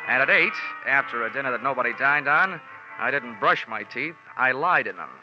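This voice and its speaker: An American actor playing a tough, wisecracking insurance investigator in a 1940s radio detective drama, with sardonic, clipped delivery. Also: male voice, medium pitch, lively intonation, moderate pace, lightly acted. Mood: wry narrating. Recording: dull vintage 1940s radio recording, faint music.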